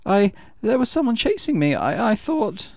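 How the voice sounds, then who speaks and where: Man doing a high-voiced anxious young boy. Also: big pitch swings, normal speed, no room sound. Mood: scared, stammering. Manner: anxious, faltering, earnest. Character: frightened, pleading young schoolboy.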